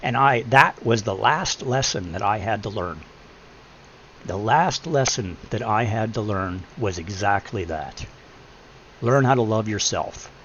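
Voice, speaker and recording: A deep-voiced middle-aged man giving blunt, preachy advice in a casual chat, swearing freely. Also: expressive, measured pace, fairly bright tone. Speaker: podcast host. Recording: muffled lo-fi with faint hum.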